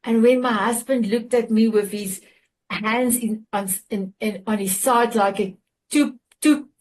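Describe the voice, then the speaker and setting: A middle-aged woman with a South African accent, a native Afrikaans speaker, hosting a group chat and sharing her story in an earnest, halting way with fillers and restarts. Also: on the high side, darkish tone, slight background noise. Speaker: show host. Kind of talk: casual heartfelt sharing on a video call.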